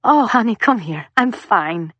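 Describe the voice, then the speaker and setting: Tearful mother cutting ties, steady and final, read by a woman, dry close sound. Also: mid-range voice, measured pace, no echo, muffled recording. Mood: tender. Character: heartbroken mother ending her marriage.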